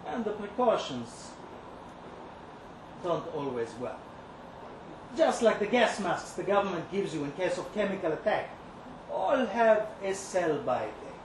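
A man performing a dramatic first-person story as a bitter, lovesick young kibbutz kitchen worker, brooding and sardonic, with dramatic pauses. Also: high-pitched, big pitch swings. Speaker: reader at a live literary event. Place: indoor live reading venue. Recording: roomy live event recording, clear background noise.

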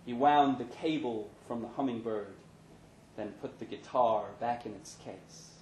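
A man's voice: medium pitch, measured pace, a little mellow, a little hiss or hum. A man giving a scripted narration of a short story at a live reading indoors. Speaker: reader of short fiction. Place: pub event, indoors. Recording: echoey live room.

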